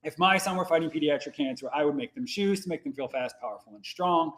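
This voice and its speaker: Fast-talking energetic man in his forties. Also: fairly high pitch. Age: 40s. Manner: energetic, playful, quick-talking. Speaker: guest, nonprofit founder. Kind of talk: casual podcast conversation.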